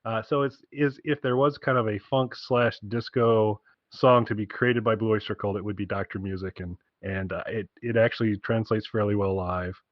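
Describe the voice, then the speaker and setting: A man's voice: on the low side, fairly even intonation, normal speed, few pauses, dry recording, lo-fi sound. A man co-hosting a podcast, rambling casually and enthusiastically about music recommendations with wry asides. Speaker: podcast co-host giving album recommendations.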